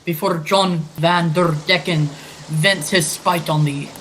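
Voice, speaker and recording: A man's voice: fairly high pitch, fairly even intonation, slow pace, a bit bright, slight background noise, poor audio. A young man playing a fearful, pious sailor in old-time radio drama style. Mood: alarmed. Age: young adult.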